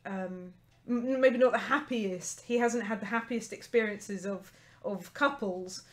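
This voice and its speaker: A woman with an English accent co-hosting a casual livestream, chatty and playful, quick to agree. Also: medium pitch. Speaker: co-host.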